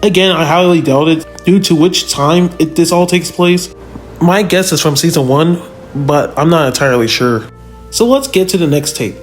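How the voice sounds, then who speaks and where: Higher-pitched man commentating over loud music. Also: normal speed. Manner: conversational, addresses viewers directly with rhetorical questions. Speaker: video narrator, fan power-scaling reviewer. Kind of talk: informal analytical commentary over video. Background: background music.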